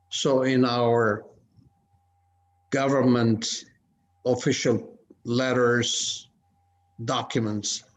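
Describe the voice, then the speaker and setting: A man from Ethiopia speaking on a video-call panel, measured and halting with frequent restarts. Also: medium pitch. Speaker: conference panelist. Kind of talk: casual panel discussion. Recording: dull lo-fi call audio.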